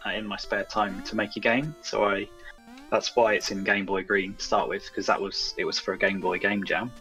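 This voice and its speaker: Low-pitched man, music behind him. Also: flat delivery, moderate pace, pushed and bright. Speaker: guest game developer. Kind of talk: casual interview chat.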